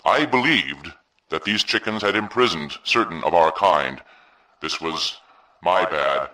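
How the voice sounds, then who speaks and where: An actor playing a blustering, insecure leader of cartoon cube creatures, grandiose yet sheepish and halting. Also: male voice, low voice, pushed and bright. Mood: imperious. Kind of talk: comic game cutscene speech. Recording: echoey, distant from the mic.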